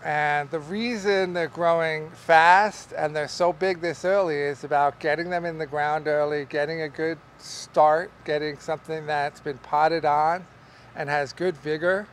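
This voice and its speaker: Middle-aged male farmer, somewhat high-pitched, relaxed and rambling, in a greenhouse with faint background noise. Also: measured pace. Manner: relaxed, rambling, with many fillers and restarts. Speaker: market farmer teaching growing. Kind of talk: casual on-camera farming lesson. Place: large greenhouse among tall tomato plants. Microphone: camera at a distance, wide shot.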